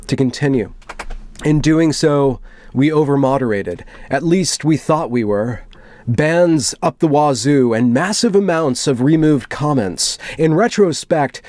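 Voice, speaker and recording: A man reading a written post aloud as a commentary vlogger, switching between deliberate, dramatic delivery and wry, conversational asides. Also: mid-range voice, a little mellow, dry recording.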